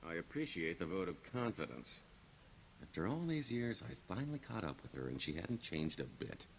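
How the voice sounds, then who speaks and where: A roguish con man from a video game. His deep voice moves freely up and down, wry and theatrical, hiding a wistful streak behind glib bravado. Clear background ambience sits behind him. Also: big pitch swings, moderate pace, a little hiss or hum, exaggerated voice, low-quality recording. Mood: wistful, resigned. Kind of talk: video game character dialogue.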